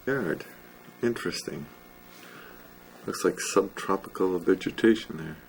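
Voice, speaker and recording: A man narrates his travel vlog casually, with dry, wry remarks. He talks slowly, and faint noise sits in the background. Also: low voice, vocal fry, low-quality recording. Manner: slow, wry, chatty. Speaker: travel vlogger.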